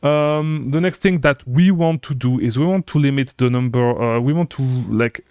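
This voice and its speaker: French-speaking guy walking thru software on screen, kinda raspy low-end fry, pushy coaching tone, dead dry room. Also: normal speed, no room sound. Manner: repetitive, lots of "okay?" tags, energetic and insistent. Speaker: online seller coach. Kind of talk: screen-share software tutorial.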